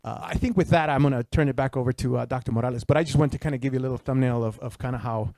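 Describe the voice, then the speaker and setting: A man's voice: medium pitch, racing, gravelly, darkish tone, dry recording, clean studio sound. A man from Texas in his fifties, a retired Secret Service official speaking on a panel with a handheld mic, conversational and full of restarts. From El Paso, Texas. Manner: conversational, many restarts and fillers. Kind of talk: panel discussion, personal career story. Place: auditorium stage before an audience. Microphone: handheld microphone.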